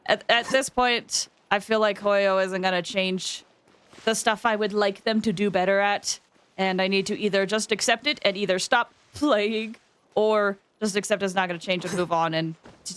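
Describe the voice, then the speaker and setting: A woman's voice: medium pitch, steady tone, normal speed, a bit bright, clean tone. A woman hosting a game livestream, chatting casually and informally with viewers, often drifting into asides.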